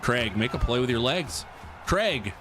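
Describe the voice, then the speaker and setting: A man's voice: mid-range voice, expressive, unhurried, a crowd in the background. A man in his thirties hosting a live stream, chatting casually with viewers and reacting to a football game he is playing, often repeating himself. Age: 30s. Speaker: livestream host. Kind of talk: casual live-stream chat over gameplay.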